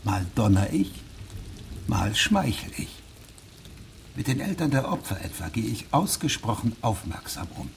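An actor playing a pedantic, self-righteous middle-aged judge in a radio drama, speaking formally and precisely. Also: male voice, lowish voice, a little hiss or hum. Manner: formal, precise, measured, stiff.

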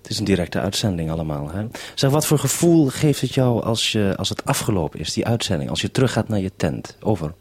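Low, slightly husky male radio interviewer. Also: big pitch swings, brisk, hardly any pauses.

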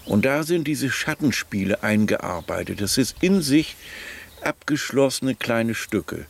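A man explaining informally, halting and searching for words in fragmentary sentences as he thinks aloud. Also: medium pitch, animated, vocal fry, a little hiss or hum.

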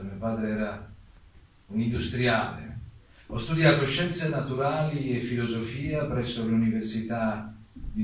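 An actor playing an exiled German playwright giving testimony about his life in a measured, declamatory theatrical monologue. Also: mid-range voice, close to an everyday voice. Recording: very echoey, distant mic, faint noise.

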